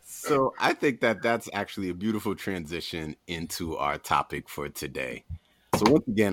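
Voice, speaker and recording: Lively low-voiced male podcast host. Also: no room sound. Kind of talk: casual but structured hosting.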